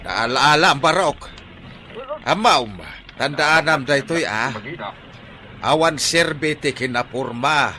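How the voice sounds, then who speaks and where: A man's voice: a little high, slow pace, pauses often, sharp tone. A man playing a humble but feisty old jeepney driver in a comedic radio drama. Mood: admonishing.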